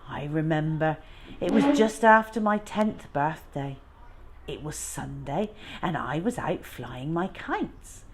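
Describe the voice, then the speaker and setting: A wistful old lady, as a woman reader plays her, looks back on a lonely childhood. Her voice is low and slow, reflective and measured, in storybook style. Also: lively intonation, breathy edge, exaggerated voice. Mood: wistful reminiscing.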